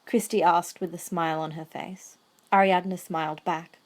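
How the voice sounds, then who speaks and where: A woman's voice: lowish voice, steady tone, normal speed. A woman reading scripted third-person narration for an amateur audio drama.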